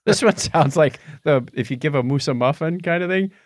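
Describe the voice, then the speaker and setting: A man's voice: a little high, fairly quick, dry recording, clean studio sound. A middle-aged man co-hosting a podcast in casual, bantering conversation. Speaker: podcast co-host.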